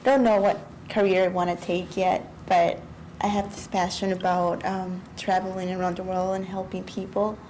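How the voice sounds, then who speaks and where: Young woman from Mexico, mellow warm tone, earnest student. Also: fairly low pitch, level tone, moderate pace, hardly any pauses, a little hiss or hum, low-quality recording. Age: young adult. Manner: earnest, hesitant with frequent "um". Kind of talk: conversational interview.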